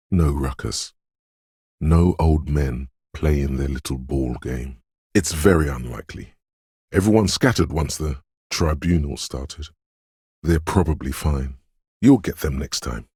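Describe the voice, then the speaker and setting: A man voicing a brooding, cryptic inner voice of intuition in a video game, speaking in a hushed, deliberate, portentous way. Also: very deep voice, slightly rough, no echo, clean studio sound, full character voice. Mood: pensive, brooding. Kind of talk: scripted video game narration.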